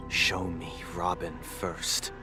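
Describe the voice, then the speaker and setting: A proud young nobleman in a video game, his voice deep and slow. He is guarded and distrustful, making terse, formal demands. Music runs clearly behind him. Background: background music.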